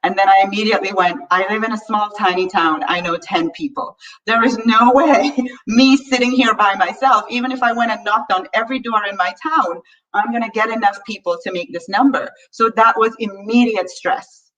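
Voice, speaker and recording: Female live-stream coach, mid-pitched, earnest and chatty, slightly distant muffled mic. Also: moderate pace, a bit bright. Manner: earnest, reads viewer comments aloud, frequent "right?". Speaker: money coaching host. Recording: distant mic, dull lo-fi sound.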